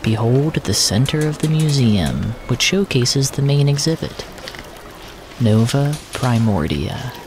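Man, surreal story narrator, slow pace, deliberate fragments, descriptive, clear ambient noise behind. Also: mid-range voice, expressive. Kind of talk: first-person surreal audio fiction narration.

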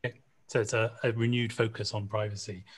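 A man moderating a live panel discussion over a video call, chatty and quick to laugh. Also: lowish voice, level tone, husky. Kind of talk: casual panel hosting.

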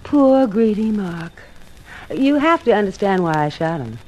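An American actress playing a scheming, greedy blackmailer with a sugary, menacing manner in a 1950s radio drama. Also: female voice, medium pitch, very animated, a little slow, a little mellow, dull audio. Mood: coolly menacing. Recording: old radio recording, faint noise.

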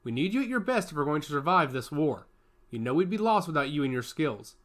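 A man voicing a calm, wry young man who supports his siblings in a read-aloud story. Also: fairly high pitch, fairly quick.

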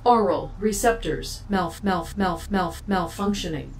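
A woman's voice: medium pitch, unhurried, slight background noise, lightly acted. A woman playing a malfunctioning robot companion in a sci-fi audio drama, speaking formally with stilted, glitching repetitions. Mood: formal, glitchy. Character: malfunctioning female robot companion, clipped and protective.

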